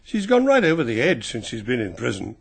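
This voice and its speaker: Genial English gentleman for radio drama, gossipy and dry, gravelly voice with lively pitch movement. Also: brisk, heavily acted. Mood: dry, chatty.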